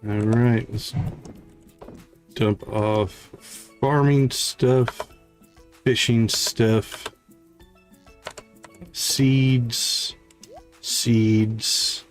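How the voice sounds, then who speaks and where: A man's voice: medium pitch, steady tone, very slow, pauses often, soft background music. A man hosting a live game stream, thinking aloud in short casual fragments and chatting to viewers, with a slight catch in his throat. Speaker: livestream host, gamer.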